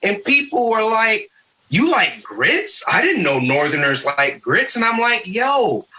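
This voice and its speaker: A man's voice: high voice, very animated, measured pace, a crowd in the background, poor audio. An American man from Michigan hosting a casual history podcast, explaining things in an emphatic conversational way. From Michigan, United States. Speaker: podcast host.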